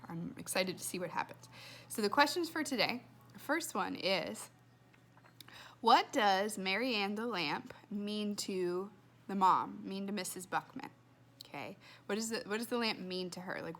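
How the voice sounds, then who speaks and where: A woman's voice: mid-range voice, fairly bright tone. A woman reading a children's story aloud expressively and putting questions to listeners as a teacher.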